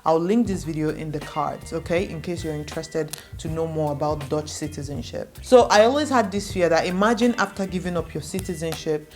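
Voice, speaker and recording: A woman's voice: low-pitched, fairly quick, hardly any pauses, a little mellow, soft background music. A young adult woman from Nigeria, a native Igbo speaker, earnestly reassuring viewers in a direct-to-camera advice vlog and repeating points for emphasis. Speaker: vlogger.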